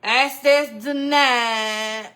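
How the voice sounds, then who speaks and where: Bright, chatty woman streaming live. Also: on the high side, extremely slow. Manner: chatty and animated, greets viewers by name and laughs often. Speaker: livestream host. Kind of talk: casual livestream chat with viewers.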